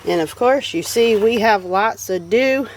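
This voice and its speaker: A woman's voice: mid-range voice, measured pace. A woman with a Southern US accent gives a casual, folksy, unscripted garden tour in a rambling, appreciative way. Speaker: homestead vlogger giving a garden tour. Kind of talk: casual unscripted walk-through narration. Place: outdoors in a homestead garden. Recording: noisy outdoor recording with animal sounds. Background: birds, roosters and farm animals.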